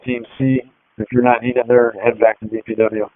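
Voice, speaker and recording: A man's voice: medium pitch, level tone, normal speed. A man working as a police officer gives clipped procedural orders and replies over a two-way radio. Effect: two-way radio transmission. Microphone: two-way radio handset. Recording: tinny narrowband police radio.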